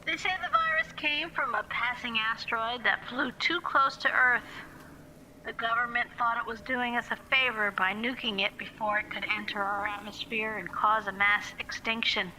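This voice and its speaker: A woman's voice: on the high side, animated, moderate pace, pushed and bright, slight background noise, poor audio. A woman voicing a grim young female survivor narrating a post-apocalyptic film script in voiceover. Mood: grim, matter-of-fact.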